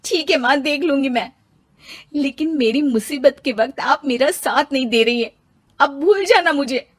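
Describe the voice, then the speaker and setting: A woman voicing an overwhelmed young new mother in an animated moral story, fretting and pleading. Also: high voice, moderate pace, dry recording, studio quality, voice pushed up, natural voice. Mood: angry, hurt.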